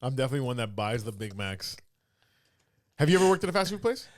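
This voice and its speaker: A man from Canada hosting a casual podcast chat, quick and joking, often dropping into impressions. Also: medium pitch, big pitch swings, no echo, clean studio sound. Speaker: podcast host.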